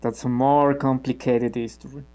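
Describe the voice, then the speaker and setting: A man's middle-pitched voice. An actor plays a cynical member of an armed movement in a radio play, natural in delivery, measured and careful with what he gives away. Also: flat delivery, mellow, natural voice. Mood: guarded, wry. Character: guarded, cynical male member of an armed revolutionary group.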